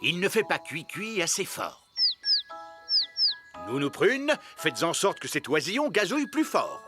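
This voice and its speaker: A man's voice: fairly high pitch, wildly swooping pitch, measured pace. An actor playing a pompous, fussy fairy king and father, exclamatory, in a French children's cartoon dub. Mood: grumpy, commanding. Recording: loud music behind the voice.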